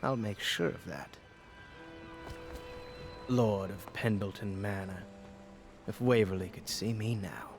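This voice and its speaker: An actor playing a haughty, bitter lord in a video game, self-important and pompous, with a sardonic edge. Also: male voice, fairly low pitch, slow, creaky, long pauses, over music. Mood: smug. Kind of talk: video game character acting.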